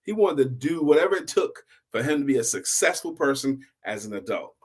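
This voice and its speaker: A man hosting a story show, telling a motivational tale in a deliberate, emphatic way with repeated phrases. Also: medium pitch, big pitch swings, breathy edge. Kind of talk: motivational oral storytelling to online viewers.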